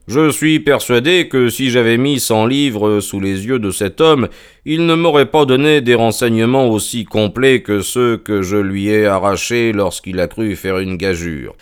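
Guy reading as clever detective in an audiobook, smooth clear voice, polite but bossy, very sure of himself. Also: on the low side, lightly acted. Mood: shrewd, pleased. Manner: deliberate, smooth, articulate. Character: shrewd, self-assured male detective, courteous and commanding. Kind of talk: audiobook character voice.

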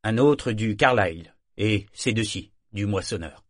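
Un homme faisant un marin sinistre. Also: male voice, on the low side, animated, a little slow, dry recording, clean studio sound. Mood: grim.

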